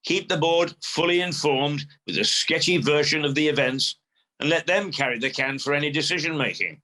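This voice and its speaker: Man as a bright-voiced, dryly agreeable underling. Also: fairly high pitch, moderate pace, rough recording. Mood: dryly deferential. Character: agreeable male underling, quietly dry and questioning. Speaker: actor in a radio play. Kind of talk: radio comedy-drama dialogue.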